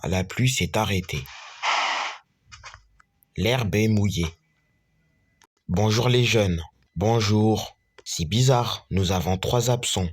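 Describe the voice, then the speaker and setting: A man with a lowish voice talks his way slowly through a homemade school story, playing every part himself. He stumbles and repeats phrases as he goes. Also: darkish tone, slightly rough. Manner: halting, repetitive, restarts mid-phrase. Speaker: storyteller voicing all characters. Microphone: screen-recorder capture.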